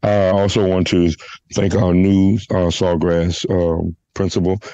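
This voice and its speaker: A man hosting a church podcast, hoarse from a flu, making announcements and leading a prayer with halting restarts. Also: low-pitched, little pitch movement, dark tone, no echo. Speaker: podcast host. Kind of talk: casual church podcast chat.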